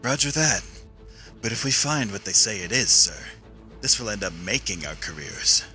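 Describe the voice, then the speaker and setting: A man's voice: on the low side, expressive, normal speed, sharp tone, soft background music. An actor playing a confident, insubordinate noble lieutenant in his twenties in a sci-fi radio play, self-assured and formal.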